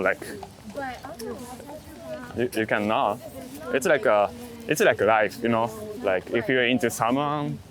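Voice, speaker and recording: A man with a mid-range voice talks casually as a street photographer being interviewed. His English is halting and non-native, with small words and endings dropped. A busy city crowd is clearly audible around him outdoors. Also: mid-range voice. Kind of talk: casual chat.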